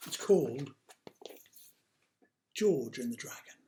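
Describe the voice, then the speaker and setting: A man reading a picture book aloud to children, with dramatic drawn-out emphasis and hushed asides. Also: mid-range voice, lively intonation, slight background noise. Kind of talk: animated children's storytime narration. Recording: roomy, echoey sound.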